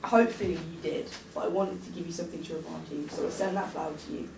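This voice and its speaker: A woman's voice: sits low, fairly even intonation, quick pace, gravelly. A woman reading the part of a caring, teasing young friend at a drama table read, recorded at a distance in an echoey, noisy room. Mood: earnest.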